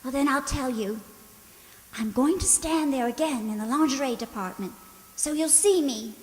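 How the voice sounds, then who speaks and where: A woman's voice: high-pitched, normal speed, natural voice. A woman acting a dreamy, lovestruck young woman in a radio drama, sweet, earnest and dramatic, yearning to escape. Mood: resolved. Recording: long hall reverb.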